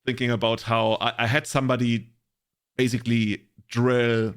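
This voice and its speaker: Man, podcast co-host, casual rambling chat, lots of fillers, medium pitch. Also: flat delivery, normal speed, smooth. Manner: rambling, many "like" fillers, joking.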